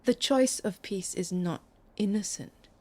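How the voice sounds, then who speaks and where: A woman's voice: medium pitch, a little slow, natural voice. A woman playing a musician's probing, teasing lover in an intimate, naturalistic audio drama, conversational and questioning. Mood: probing, tentative.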